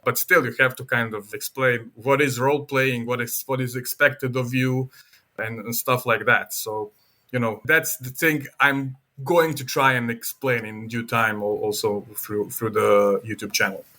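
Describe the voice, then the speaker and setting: A man explains his hobby in a casual, animated way. His voice has a bright, forward edge at a mid pitch. He acts out other people's reactions as he talks. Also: measured pace.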